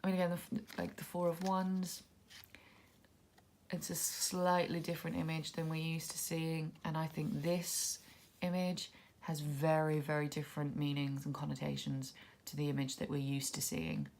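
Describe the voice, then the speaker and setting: A low-voiced woman talks through a tarot deck in a relaxed, musing way, thinking aloud as she goes. Her voice is gravelly with fry, and she sounds congested and sniffly from a cold. A faint hiss of noise sits under the recording. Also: moderate pace. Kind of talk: casual walkthrough commentary.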